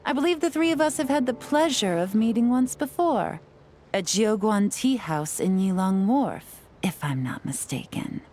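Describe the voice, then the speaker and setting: A woman voicing a poised, sly female spy who talks with smooth, teasing politeness in a video game. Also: medium pitch, expressive, moderate pace, darkish tone, close to an everyday voice.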